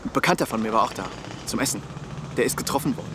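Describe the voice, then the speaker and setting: An actor playing a wary young restaurant worker in a radio play, speaking in a hushed, guarded way. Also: male voice, mid-range voice, rapid-fire, lightly acted. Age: young adult. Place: outdoor yard corner near building work. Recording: clear outdoor street and traffic noise.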